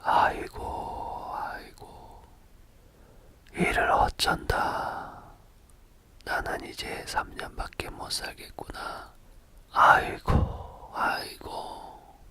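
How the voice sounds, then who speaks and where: A man's voice: drawn-out words, sharp tone. Man whispering as a fretful old village scholar. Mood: wailing.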